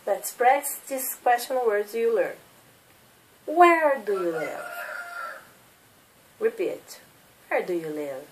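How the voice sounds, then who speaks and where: A woman's voice: mid-range voice, animated, slow pace, pauses often, recorded from a distance, slight background noise, low-quality recording. A woman in her thirties from Brazil with a Brazilian Portuguese accent, teaching English as a second language and drilling simple questions for learners to repeat. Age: 30s. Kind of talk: slow classroom drilling of questions.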